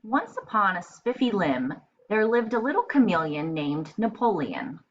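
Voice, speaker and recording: A woman reading aloud as a teacher, narrating a storybook and pausing to explain in simple terms. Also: mid-range voice, very animated, lo-fi sound.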